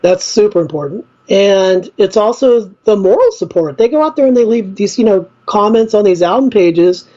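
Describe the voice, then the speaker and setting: A man's voice: high voice, normal speed, hardly any pauses, mellow, highs cut off. A man who runs a record label, a guest on a podcast, speaking with upbeat enthusiasm. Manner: upbeat and enthusiastic, laughs easily. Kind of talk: casual podcast interview.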